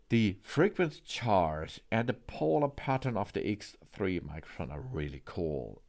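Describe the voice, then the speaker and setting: A man presenting a product walkthrough, partly reading out specifications, with halting phrasing and occasional stumbles. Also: deep voice, a little mellow. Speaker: product reviewer. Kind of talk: product walkthrough, partly read from spec sheet.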